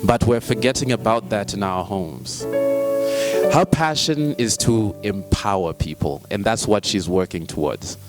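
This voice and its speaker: A man's voice: fairly low pitch, animated, measured pace. A man from Zambia emceeing a book launch, playful and self-deprecating as he introduces a speaker. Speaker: master of ceremonies. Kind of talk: event hosting. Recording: loud music behind the voice.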